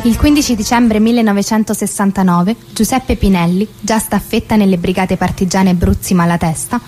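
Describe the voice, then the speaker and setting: Female news-style narrator, medium pitch, factual formal read over soft music. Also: steady tone, normal speed.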